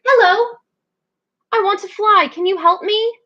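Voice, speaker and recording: A woman's voice: very high-pitched, unhurried, sharp tone, lo-fi sound, voice pushed up, full character voice. Woman voicing a timid little girl penguin. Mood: eager. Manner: hesitant, wistful. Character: small timid young female penguin.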